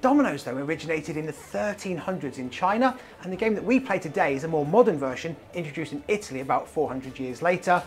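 A man's voice: a little high, lively intonation, quick pace, few pauses. Enthusiastic British man presenting over music. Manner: chatty, enthusiastic. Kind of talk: scripted promotional presenting to camera. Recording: clean voice over background music.

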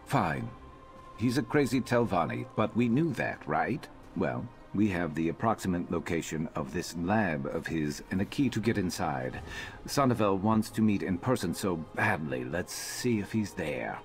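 A man playing a gruff, sardonic rogue adventurer in a fantasy video game, speaking in a dry, terse, conspiratorial way. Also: sits low, very animated, moderate pace, soft background music. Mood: grim, determined. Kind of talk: scripted game dialogue.

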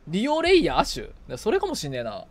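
Male gamer, slightly high voice, animated swings, excitable co-op chatter over game music. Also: fast talker. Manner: excitable, reacts aloud to the game while playing. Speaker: video game streamer. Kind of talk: casual co-op gaming chat. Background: video game music.

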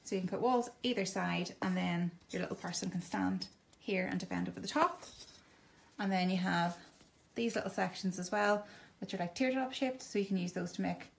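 A woman's voice: fairly low pitch, moderate pace, vocal fry. A woman, enthusiastically demonstrating wooden toys in a toy shop in casual chat. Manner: enthusiastic, upbeat, laughs often. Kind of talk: casual product demo chat.

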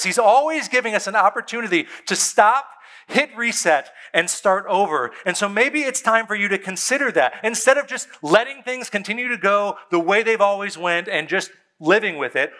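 A man, a pastor preaching a sermon on a church stage, conversational and emphatic, with dramatic pauses and soft drops in his voice. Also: sits high. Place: church stage before a seated congregation.

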